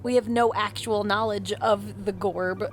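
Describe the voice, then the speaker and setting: Slow-talking woman, hesitant. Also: mid-range voice, steady tone, a little hiss or hum. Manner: hesitant, repeating words. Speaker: player. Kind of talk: tabletop game play.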